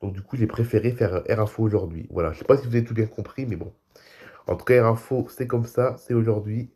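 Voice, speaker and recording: A man with a cold, speaking softly as the host of an online aviation news show, reading articles and adding casual asides. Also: lowish voice, fast, slightly rough. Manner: reads articles, then adds loose spoken asides.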